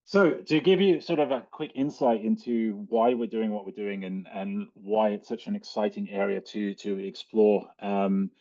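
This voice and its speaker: Aussie guy doing an online slide talk, low mellow voice, bit muffled call audio, lots of ums. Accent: Australian. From Australia. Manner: hesitant, halting delivery with frequent ums. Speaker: guest speaker, cave and mine diver. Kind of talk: informal slide presentation.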